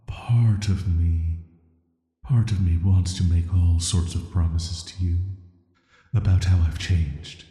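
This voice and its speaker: A man acts out an ancient sealed-away villain in a soft audio roleplay, resigned yet quietly menacing. The voice is very low and dark, flat and measured, hanging in long, echoing reverb. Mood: hopeful, resigned. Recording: roomy echo with long reverb.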